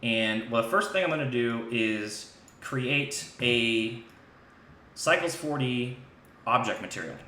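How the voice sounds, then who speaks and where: Bright-voiced man streaming from an echoey room. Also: medium pitch, lively intonation, slow. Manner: informal, thinking aloud while demonstrating. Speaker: live stream host and software instructor. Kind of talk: casual screen-share software tutorial. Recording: echoey room with faint background noise.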